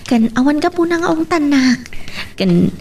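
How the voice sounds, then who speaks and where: A woman's voice: high voice, expressive, a little slow, darkish tone. A woman with a Filipino accent playing a troubled daughter who hides her sadness, in a radio drama. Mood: sad, guarded. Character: troubled daughter hiding her tears from her father. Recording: music under the voice.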